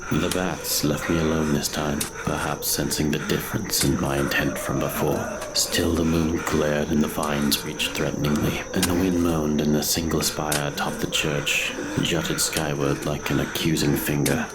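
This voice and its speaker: A man's voice: a bass voice, flat delivery, normal speed, hardly any pauses, very noisy. A man playing a guilt-ridden grave robber who narrates his doom in a brooding, theatrical way, in a gothic horror audio drama. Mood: brooding, remorseful.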